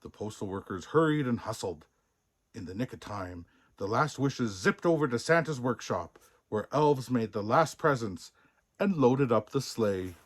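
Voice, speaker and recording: A man reads a children's storybook with playful theatricality. His pitch swings in a lively way, and he takes his time, savouring the sound words. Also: measured pace. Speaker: storybook reader in a Santa persona. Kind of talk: expressive scripted children's story narration.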